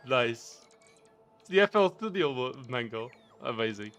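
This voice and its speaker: A man with a Portuguese accent chats casually with his stream audience. He rambles and chuckles often as he reads and answers the chat. His voice has a bright, forward tone, with soft music playing in the background. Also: mid-range voice, clean tone. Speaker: game streamer.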